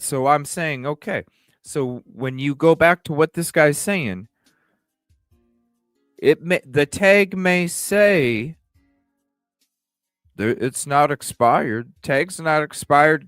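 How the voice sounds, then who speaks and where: A man's voice: on the high side, takes it slowly, no room sound, clean studio sound, soft background music. A man hosting a livestream with casual, speculative commentary, repeating phrases and asking rhetorical questions. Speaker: livestream host.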